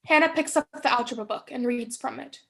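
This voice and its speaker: A woman's voice: a little high, fairly quick, vocal fry. A young woman giving scripted narration, reading stage directions for a play. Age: young adult.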